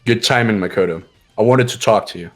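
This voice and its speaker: A man's voice: on the low side, brisk, a bit bright, soft background music. A man voicing a cool, commanding young man who questions and explains formally in a visual novel game. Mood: coolly demanding. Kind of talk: amateur visual novel voice acting.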